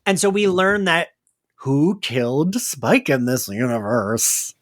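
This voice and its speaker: A man co-hosting a podcast, recapping a show in casual, chatty talk full of excitable tangents. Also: fairly high pitch, takes it slowly. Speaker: podcast co-host. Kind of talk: casual recap chat about an audio drama.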